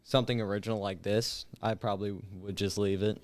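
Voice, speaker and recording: A man's voice: on the low side, steady tone, fast. A man in his late teens, a student guest, chatting casually on a talk show. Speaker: high school student guest. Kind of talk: casual chat.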